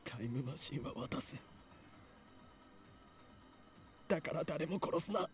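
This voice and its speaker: A man's voice: on the high side, animated, rapid-fire, faint music behind, natural voice. An actor playing a defiant, desperate young man in a Japanese visual novel. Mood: desperate pleading.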